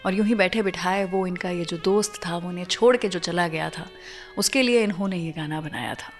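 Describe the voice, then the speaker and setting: A woman's voice: on the low side, a little fast. A woman with an Indian accent hosting a radio show, telling stories conversationally and addressing listeners directly with emphatic phrasing. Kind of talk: conversational storytelling radio presenting. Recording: music bed behind the voice. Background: background music.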